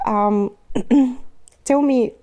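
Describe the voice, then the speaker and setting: A woman hosting a podcast, reading an introduction and then asking a guest gentle, probing questions. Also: a little high, very slow, muffled recording. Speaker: podcast host. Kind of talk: interview hosting with a read intro.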